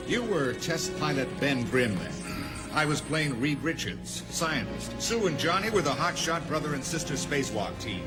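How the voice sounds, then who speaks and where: Male actor as brooding scientist leader: medium-pitched, earnest, expository, loud score underneath, radio play. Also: close to an everyday voice. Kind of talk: superhero radio drama acting.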